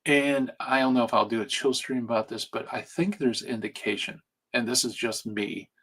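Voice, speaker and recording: A man talking quietly and soothingly as he lectures on a live stream from home at night. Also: mid-range voice, moderate pace, slightly rough. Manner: hushed, soothing delivery. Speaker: streamer teaching on Bible canon. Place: home at night, family asleep nearby. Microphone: streaming mic.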